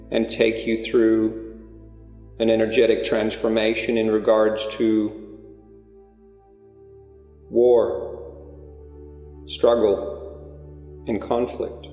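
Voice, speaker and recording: A low male voice leads a guided meditation in deliberate, measured phrases, leaving long pauses between them. The sound is washed in echoey, hall-like reverb, with music playing behind him. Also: little pitch movement, darkish tone. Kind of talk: guided meditation, spiritual channeling.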